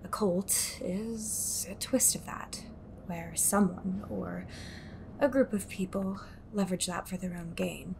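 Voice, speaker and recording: Actress as haunted, secretive woman for audio drama: cryptic, grave, unhurried delivery. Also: medium pitch, creaky, noisy background. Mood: somber, explaining. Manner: cryptic, slow, deliberate, sighing. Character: haunted, secretive woman fighting a sinister occult group. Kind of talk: audio roleplay drama acting.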